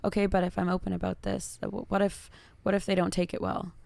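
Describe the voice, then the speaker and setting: A woman with a naturally raspy voice, chatting openly and reflectively as a podcast guest, with lots of fillers. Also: fairly low pitch, flat delivery, fast talker, darkish tone, dry recording. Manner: open, reflective, heavy use of fillers. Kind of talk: casual podcast chat.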